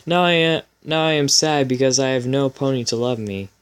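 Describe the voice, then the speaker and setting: A man's voice: mid-range voice, a little fast, smooth, lightly acted. A man voicing a sad, lovelorn young female unicorn pony in a plaintive, melodramatic way for a story reading.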